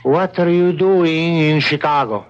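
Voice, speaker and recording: An actor playing a suave, unrepentant older professor and sculptor in a radio drama, speaking in a measured, placating manner. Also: male voice, fairly high pitch, close to an everyday voice. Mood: puzzled, polite. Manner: placating, measured, self-justifying. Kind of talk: old-time radio drama acting. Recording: dull vintage radio recording.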